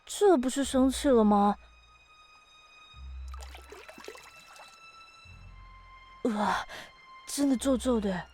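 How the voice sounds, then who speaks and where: 爱捉弄人的神秘湖中女子，伴着配乐. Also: female voice, on the high side, measured pace, mellow. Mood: playful teasing. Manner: casual, playful, teasing. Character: mysterious ancient female being of the lake, playful, teasing, wistful. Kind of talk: audio drama dialogue acting. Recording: studio voice over background music.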